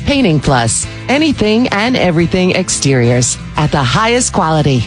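Brief: female ad announcer, punchy, lively pitch, light guitar bed. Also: moderate pace. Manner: upbeat, punchy. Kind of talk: scripted commercial read. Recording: commercial with guitar music under.

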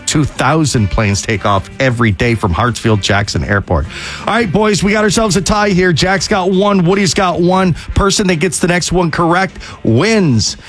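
A man hosting a radio trivia game, briskly repeating the answers and keeping score. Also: on the high side, a bit bright, soft background music. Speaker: radio host.

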